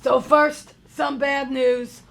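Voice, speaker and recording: A woman's voice: high-pitched, little pitch movement, takes it slowly, creaky, fairly bright tone, exaggerated voice. A woman playing a harried, exasperated divorced bar owner in his fifties, in a live comedy table read. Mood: bossy, businesslike.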